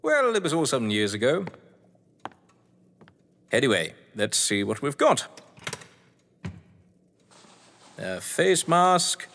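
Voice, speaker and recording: An actor playing a whimsical, scheming crime-novelist lord of the manor, with a British accent and a pompous, florid delivery, in a stage-thriller audio drama. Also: male voice, fairly high pitch, vocal fry, a bit bright, full character voice. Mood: breezy, persuasive. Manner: florid, pompous, playfully grandiose. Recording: long hall-like reverb.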